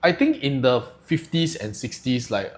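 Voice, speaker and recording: A man's voice: mid-range voice, normal speed. A young man with a Singaporean English accent co-hosting a podcast, talking casually and thinking aloud with lots of fillers. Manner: relaxed, thinks aloud with frequent fillers and "lah". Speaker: podcast co-host. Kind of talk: casual discussion.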